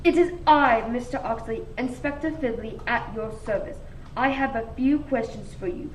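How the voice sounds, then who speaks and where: High, pompous lady police inspector, acted by a woman. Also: moderate pace, lightly acted. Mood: brisk, officious. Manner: pompous, declamatory. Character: self-important, crooked female police inspector. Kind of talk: comic radio mystery play acting. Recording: echoey room, distant mic, faint noise.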